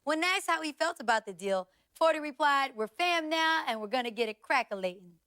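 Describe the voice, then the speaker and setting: A woman's voice: high-pitched, lively intonation, a little fast, pushed and bright, soft background music. A woman playing an entertainment news reporter in a video game, reading a scripted report. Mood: brisk, neutral. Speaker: newsreader.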